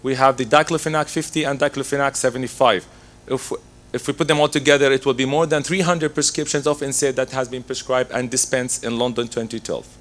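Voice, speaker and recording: A man with laryngitis, hoarse, giving a methodical medical lecture as a pharmacist, working through his slides. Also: mid-range voice, fairly even intonation, a little fast, a bit bright.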